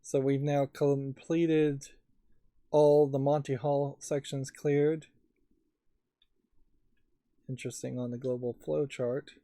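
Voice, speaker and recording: A man's voice: medium pitch, flat delivery, slow, long pauses, warm tone, no echo, clean studio sound. A man giving casual, musing game commentary as a let's play host, often hesitant and trailing off. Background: game music.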